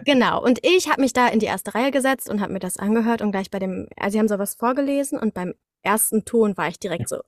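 A woman's voice: medium pitch, fast, hardly any pauses, dry recording, clean studio sound. A young woman chatting casually as an interview guest, telling her story in an enthusiastic, colloquial way. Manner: enthusiastic, colloquial, acts out quoted exclamations. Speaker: interview guest, studio voice artist.

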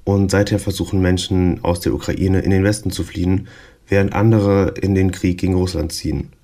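A man's voice: low-pitched, little pitch movement, a little fast, mellow. A man co-hosting a podcast, reading the intro and then chatting hesitantly with many fillers. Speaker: podcast co-host.

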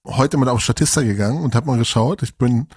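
Gravelly husky man in his forties. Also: medium pitch, quick pace, dry recording, clean studio sound. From Germany. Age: 40s. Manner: relaxed, reflective, many fillers. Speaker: podcast co-host. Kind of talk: casual podcast conversation.

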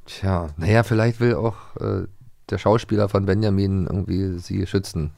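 A man chats casually as a podcast co-host. His voice is fairly low and creaky, recorded close and dry, and he has a playful, joking manner. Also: fairly even intonation, normal speed, warm tone, dry recording.

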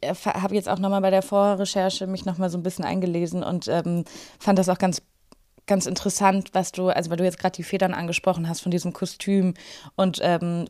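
A woman's voice: lowish voice, a little mellow, no echo, studio quality. A woman hosting a podcast, asking long, loosely phrased questions. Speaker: podcast host. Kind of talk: podcast interview.

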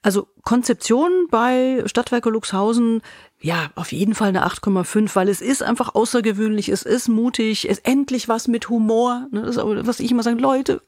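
A woman giving her verdicts as a podcast co-host, expressive and colloquial. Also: fairly high pitch, moderate pace, no echo, studio quality. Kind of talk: casual podcast review chat.

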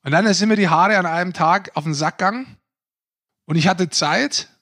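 A man with a fairly high voice hosts a casual sports podcast. He speaks in an animated, conversational way, as if bantering with colleagues. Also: normal speed. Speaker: podcast host.

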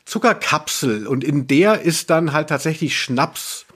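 A man's voice: a little high, lively intonation, a little slow, a bit bright, breathy edge. A man hosting a podcast in casual, colloquial chat, rambling along and dropping in English slang. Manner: rambling, colloquial, anglicism-sprinkled. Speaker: podcast host.

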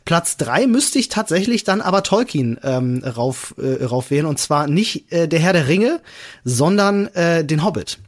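A man with a slightly high-pitched voice chats as a podcast co-host. His delivery is informal but earnest, stressing his points as he explains them. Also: animated, a little slow. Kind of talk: casual podcast chat.

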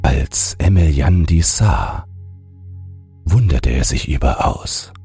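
Very deep, gravelly, slow male storyteller. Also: animated. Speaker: audiobook narrator. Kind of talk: scripted bedtime fairy-tale narration. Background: soft music.

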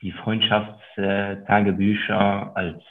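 A man's voice: lowish voice, flat delivery, slow pace. A man in his thirties from Luxembourg with a French accent, a guest on a podcast interview, talking hesitantly with many ums. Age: 30s. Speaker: guest investor and author. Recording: tinny phone-like line.

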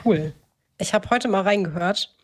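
A woman's voice: mid-range voice, measured pace. A woman co-hosting a podcast in a casual chat, talkative and often correcting herself mid-sentence. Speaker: podcast co-host.

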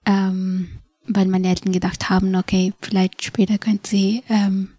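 Twenties woman from nepal, nepali native, mellow voice, slow and hesitant, lots of ums, dry studio. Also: medium pitch, level tone, no echo. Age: 20s. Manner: thoughtful, hesitant, many fillers. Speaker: podcast guest. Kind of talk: personal story in an interview. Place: podcast studio.

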